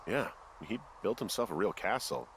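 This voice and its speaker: An actor playing a wry, easygoing fire lookout in a video game, talking in a dry, self-deprecating, casual way. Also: male voice, fairly low pitch, lively intonation, normal speed, background noise. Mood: casual, curious. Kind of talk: naturalistic video game dialogue.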